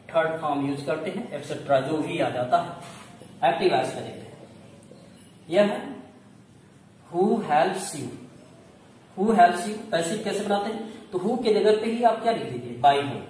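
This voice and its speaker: A high-voiced man with an Indian accent gives a lesson in a didactic, question-and-answer manner. He explains step by step like a schoolteacher. The sound is very reverberant and distant from the mic. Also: a little mellow. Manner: didactic, asks questions and answers them himself. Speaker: English grammar teacher. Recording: very echoey room, distant mic, faint noise.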